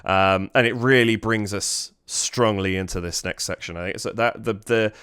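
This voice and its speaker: Male reaction host, low smooth tone, a bit stuffy nosed, dry close sound. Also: fairly even intonation, moderate pace, fairly bright tone, dry recording. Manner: admiring, thinks aloud, hums melody lines. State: slightly stuffy from a cold. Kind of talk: casual music analysis commentary.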